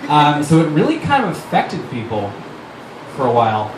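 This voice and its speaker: Echoey, noisy stage recording of an animated man. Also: fairly high pitch, normal speed. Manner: informal, filler-heavy, swearing. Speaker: writer-director reading on stage. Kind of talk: casual stage talk and dramatic reading of fan fiction. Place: live stage before an audience at a fundraiser event. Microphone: stage microphone. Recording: noisy, echoey live room recorded from far off.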